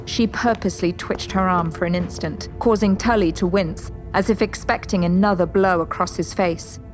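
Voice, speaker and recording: A woman giving composed, measured scripted narration for an audio drama. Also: lowish voice, steady tone, soft background music, poor audio.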